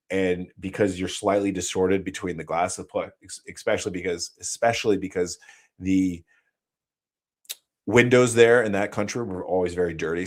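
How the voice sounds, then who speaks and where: A man from the United States, a former operator guest, telling stories slowly in a casual interview and often correcting himself. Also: low voice, darkish tone. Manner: slow, explanatory storytelling with frequent self-corrections.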